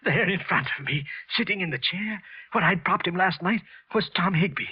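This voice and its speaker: An actor playing a nervous, bitter office clerk hiding a murder in an old-time radio drama, with halting, evasive delivery. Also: male voice, high voice, slightly rough. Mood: dread, horror. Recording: muffled old radio broadcast recording.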